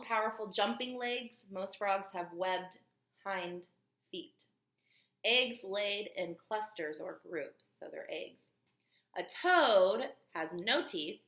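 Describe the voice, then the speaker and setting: Bright-voiced woman reading aloud to children. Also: mid-range voice. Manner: expressive, emphatic, didactic, pausing to question listeners. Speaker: teacher reading a book aloud to young children. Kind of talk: children's read-aloud with explanations and questions. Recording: roomy, echoey room sound.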